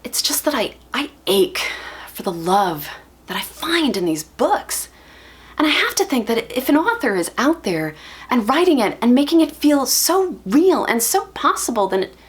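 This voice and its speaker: A woman's voice: sits high, sing-song, voice pushed up. A woman performing a dramatic monologue as a lovelorn young bookworm, confessional and self-deprecating, speaking with earnest emphasis. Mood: aching, pleading. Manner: earnest, emphatic, halting with feeling.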